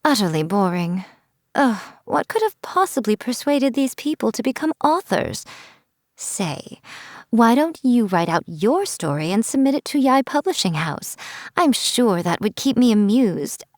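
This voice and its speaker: A woman's voice: fairly high pitch, very animated, a little slow, no room sound, clean studio sound. A woman voicing a playful, teasing fox spirit in a game, coy and amused as she talks. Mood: sly. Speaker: game character. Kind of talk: game voice lines. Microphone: studio mic, dry.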